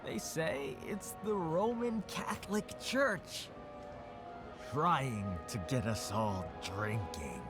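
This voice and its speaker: Slow, boozy, teasing brother. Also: male voice, medium pitch, expressive. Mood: boozy joking. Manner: slurred drunk drawl, joking. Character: drunk, boozy, teasing brother at a beer festival. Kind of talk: video game voice acting. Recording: music behind the voice.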